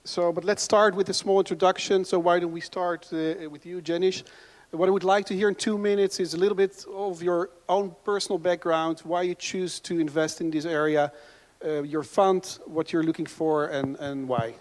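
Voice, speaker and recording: A man's voice: high voice, steady tone, moderate pace. A man moderating a conference panel, explaining points in lists. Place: conference hall stage. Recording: hall reverb.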